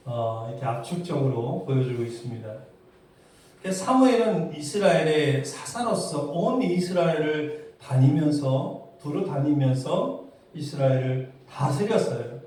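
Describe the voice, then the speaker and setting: A man's voice: mid-range voice, warm tone. A man preaching a sermon, putting rhetorical questions to his congregation. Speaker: pastor preaching. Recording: very echoey hall, distant mic.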